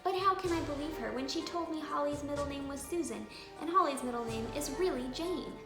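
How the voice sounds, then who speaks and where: A woman with a fairly high voice reads a children's book aloud in an expressive, dramatic way, stressing key words. The room is clearly echoey, and music plays distinctly behind her. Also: brisk. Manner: dramatic, animated delivery with emphatic stresses and hushed moments. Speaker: children's book reader and channel host.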